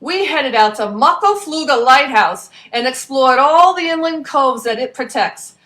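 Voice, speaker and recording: A woman narrating as a brash young male pigeon adventurer, slangy and energetic, in a storybook reading. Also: high-pitched, unhurried, pitched above the performer's own voice, full character voice. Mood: cheerful.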